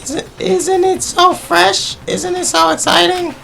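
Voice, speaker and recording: Super high gravelly evil bear, gleeful and taunting, game villain. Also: male voice, flat delivery, slow, bright, forceful tone, soft background music, heavily acted, rough recording. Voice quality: creaky, gravelly. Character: sinister playful male bear headmaster. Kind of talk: game playthrough voice acting.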